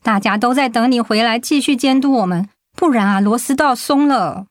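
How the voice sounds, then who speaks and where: Radio drama colleague female actor: caring young woman, high voice, dry studio sound. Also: a little fast, dry recording, lightly acted. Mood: concerned, encouraging.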